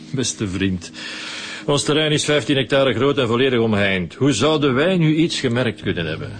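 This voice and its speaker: Actor playing a smug Flemish landowner, mid-pitched. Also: male voice, animated, moderate pace, slight background noise, natural voice. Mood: smug, defensive. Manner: condescending, chuckling. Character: smug, mocking well-off male landowner, falsely jovial. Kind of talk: radio crime drama acting.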